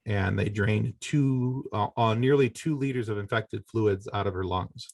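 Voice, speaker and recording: Low-voiced middle-aged man, dry close sound. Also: gravelly, darkish tone, no echo. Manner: halting, conversational, self-correcting delivery. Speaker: podcast guest. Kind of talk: personal storytelling in a podcast interview.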